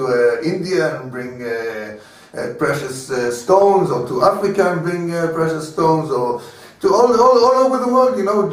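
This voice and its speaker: Man lecturing in a very echoey room. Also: a little high. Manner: storytelling, acting out voices in dialogue. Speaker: rabbi. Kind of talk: Torah class lecture. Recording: very echoey room, mic far away.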